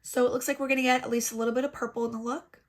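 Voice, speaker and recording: A woman vlogger talks through a makeup tutorial in a chatty, self-deprecating way, her voice a touch high and audibly stuffed up from congestion. She sounds like she is at home, recorded on a laptop microphone. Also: little pitch movement, rapid-fire. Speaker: beauty vlogger. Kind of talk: casual makeup tutorial chat. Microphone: laptop built-in mic.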